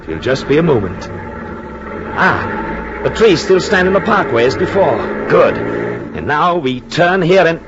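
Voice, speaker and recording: An actor playing an earnest husband in a 1940s radio drama, speaking formally and deliberately. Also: male voice, on the high side, wildly swooping pitch, exaggerated voice. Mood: tender, expectant. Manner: solemn, deliberate, formal phrasing. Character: earnest Austrian husband returning to his ruined hometown. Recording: muffled old radio broadcast, music behind.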